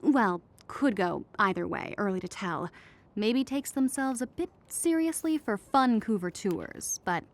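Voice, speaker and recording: A businesslike female manager in a radio drama. She speaks at a middling pitch, with a diplomatic, corporate manner that stays measured. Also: lively intonation. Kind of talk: radio play acting.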